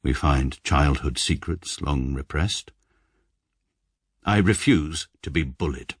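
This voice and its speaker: A deadpan pedantic barrister. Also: male voice, extremely low pitch, slow pace, slightly rough, no room sound, heavily acted. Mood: stiff. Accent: English. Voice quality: dry, clipped, deadpan. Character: stiff pedantic male barrister, coolly precise. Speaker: narrator. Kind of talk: radio comedy drama.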